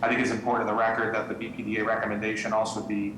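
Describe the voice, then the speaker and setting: A man's voice: on the low side, completely flat delivery, a bit bright, slight background noise. A man speaking formally to the board for the applicant. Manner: quick, formal. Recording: echoey call audio.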